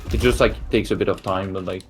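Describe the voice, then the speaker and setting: A French-speaking man from Quebec with a Québécois accent, chatting casually about games in a halting way, full of fillers and restarts. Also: sits low, steady tone, over music. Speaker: speedrun verifier. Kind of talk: casual gaming chat.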